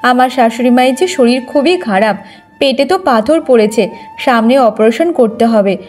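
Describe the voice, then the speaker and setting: A woman's voice: on the high side, expressive, moderate pace, a little mellow, lightly acted. A woman voicing a gossipy, friendly village housewife in a cartoon, chatty and wheedling. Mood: confiding. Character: gossipy neighbour housewife, friendly, pleading, hurried. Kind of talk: Bengali moral-story cartoon dubbing.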